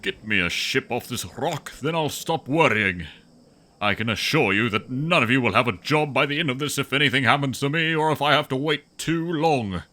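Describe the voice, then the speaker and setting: A male actor plays an indignant, pompous male business mogul in a sci-fi radio drama. His voice sits at a middle pitch with a sharp, forceful edge. He speaks theatrically and haughtily, as someone used to giving orders. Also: normal speed, lightly acted. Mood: sulky, threatening. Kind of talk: sci-fi audio drama acting.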